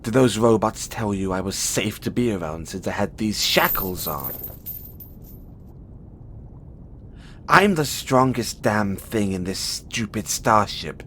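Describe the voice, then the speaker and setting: Man acting as a cocky, volatile caged experiment, animated. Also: lowish voice, a little hiss or hum. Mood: bitter, boastful. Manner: teasing, swaggering, swinging from soft murmurs to loud outbursts. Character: huge imprisoned male experiment, cocky, bitter, volatile. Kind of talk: intimate audio roleplay monologue addressed to the listener.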